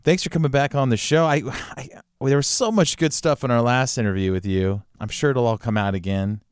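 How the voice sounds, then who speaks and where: A man's voice: medium pitch, a little fast, smooth, no echo. A man hosting a podcast, chatty and enthusiastic, often repeating words as he talks. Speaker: podcast host. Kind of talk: casual interview chat.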